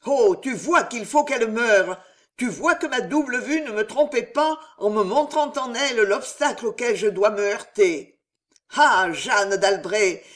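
Imperious queen, icy then raging, lifted pitch and volume; read by an audiobook narrator. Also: fairly bright tone. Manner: raises pitch and volume, snapping and roaring in anger. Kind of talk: audiobook character reading.

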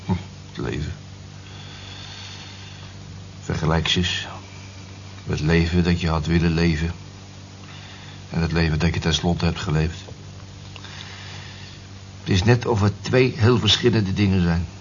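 An actor in a radio play as a resigned, wistful middle-aged working-class husband, talking in a colloquial, musing, affectionate way. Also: male voice, deep voice, fairly even intonation, unhurried, vocal fry, long pauses. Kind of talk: naturalistic radio play dialogue. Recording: dull, noisy old radio recording with hum.

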